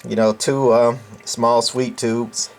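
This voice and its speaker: A man presenting an informal hands-on technical demo in a halting, folksy, explanatory way, recorded indoors on a camera microphone. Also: mid-range voice, little pitch movement, a little mellow. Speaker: channel host. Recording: clear background noise, room ambience.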